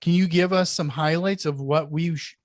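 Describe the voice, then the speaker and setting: Host with a slight northern accent. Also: male voice, on the high side, steady tone, moderate pace, no echo, studio quality. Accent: slight Canadian-sounding northern accent. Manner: short backchannels, easygoing questions. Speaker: podcast host. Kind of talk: podcast interview.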